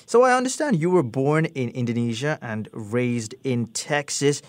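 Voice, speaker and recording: A male radio host speaks into a studio microphone in a completely dry, close sound. He poses his questions in a smooth, measured way, at a middle pitch. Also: lively intonation, no echo. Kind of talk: radio interview hosting.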